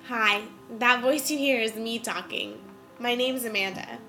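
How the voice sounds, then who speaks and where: A woman hosting a podcast, congested from a cold, chatting and explaining in a clear, casual way. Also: a little high, unhurried, bright, forceful tone, soft background music. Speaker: podcast host. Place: indoors.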